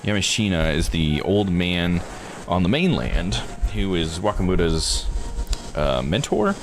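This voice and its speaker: A man's voice: sits low, very animated, unhurried. A man streaming a visual novel, reading text aloud and adding casual commentary. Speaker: game streamer. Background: music behind the voice.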